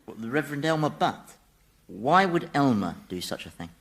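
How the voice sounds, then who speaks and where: A gleeful, bright-voiced wry Satan. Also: male voice, medium pitch, big pitch swings, moderate pace. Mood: wry, exasperated. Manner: wry, chatty, mock-indignant. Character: Satan, gleeful mischievous male ruler of Hell. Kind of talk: radio sitcom acting before an audience.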